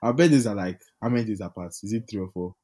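A man's voice: fairly low pitch, very fast, warm tone. A young man with a Nigerian accent co-hosting a podcast, chatting casually in a playful, teasing way and laughing often. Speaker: podcast co-host. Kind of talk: casual chat.

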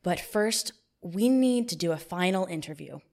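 Deadpan woman hosting a mock interview. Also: lowish voice, moderate pace. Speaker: podcast host. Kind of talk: mock job interview, comedic. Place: new podcast studio, indoors. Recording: echoey unfinished studio.

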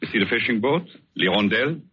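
An actor playing a vengeful, obsessed painter feigning tenderness, in measured, hesitant 1940s radio suspense style. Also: male voice, mid-range voice, animated, sharp tone, breathy edge, exaggerated voice. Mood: controlled, courteous. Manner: measured, theatrical, hesitant pauses. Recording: dull old radio recording, music behind.

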